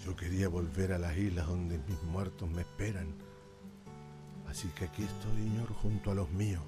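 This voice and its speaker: A man's voice: sits low, fairly even intonation, normal speed, over music, lightly acted. An actor playing a weary, aging native fugitive in a radio drama, resigned, proud and plainspoken, addressing his listener respectfully but defiantly. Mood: weary resignation. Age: older.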